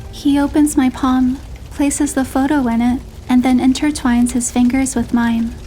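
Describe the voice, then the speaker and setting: A woman narrating a romantic first-person story in a measured, expressive storytelling manner. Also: sits high, faint music behind. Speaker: narrator and heroine voice.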